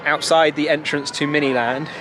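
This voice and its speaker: A man's voice: fairly high pitch, fairly even intonation, normal speed. A young British man giving chatty, improvised commentary as he films a casual walk-around vlog outdoors with a camera at arm's length. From Britain. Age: young adult. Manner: chatty, loosely improvised observations. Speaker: travel vlogger. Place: outdoors in a busy theme park. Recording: outdoor crowd chatter and heavy ambient noise.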